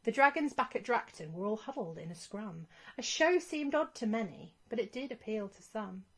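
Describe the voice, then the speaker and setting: Animated woman reading a children's story. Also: fairly high pitch, slightly rough. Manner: expressive, measured storytelling. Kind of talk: scripted rhyming storybook narration.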